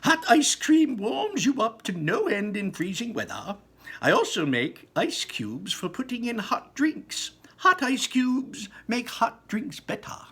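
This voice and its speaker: A man voicing an impatient, eccentric male candy maker, exclaiming and rushing through his lines in a storybook reading. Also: very high voice, huge pitch swings, sharp tone, slightly rough, pitched above the performer's own voice, exaggerated voice. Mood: excited. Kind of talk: storybook read-aloud.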